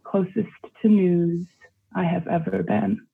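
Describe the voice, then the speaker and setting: A young adult poet with a husky, gravelly voice reads a poem and then talks it over in a loose, rambling way full of fillers. The sound comes through a thin, phone-like line. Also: mid-range voice, fairly even intonation, unhurried. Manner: rambling, heavy with fillers in chat. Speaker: poet and guest. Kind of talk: poetry reading, then casual chat.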